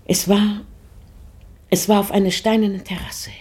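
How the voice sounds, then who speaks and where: An actress playing a dreamy, melancholy lady of the house in a poetic radio drama, with a husky, creaky voice. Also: medium pitch, fairly even intonation, moderate pace, warm tone, close to an everyday voice. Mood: dreamy, wistful.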